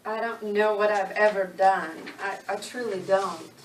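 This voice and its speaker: A woman's voice: medium pitch, fairly even intonation, moderate pace, natural voice. Woman playing a grieving, defiant country widow. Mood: wounded, defiant. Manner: folksy rural dialect grammar, pleading. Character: grieving, defiant, plain-spoken rural American widow. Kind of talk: dramatic reading of a novel. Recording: roomy, distant, faint background noise.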